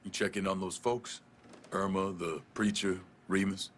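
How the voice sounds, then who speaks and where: A tough vigilante veteran in a game drama. He speaks very low, terse and dry, with determination, over noticeable background noise. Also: flat delivery, unhurried. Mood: relaxed. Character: tough, laconic vigilante war veteran man. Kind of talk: video game cutscene acting.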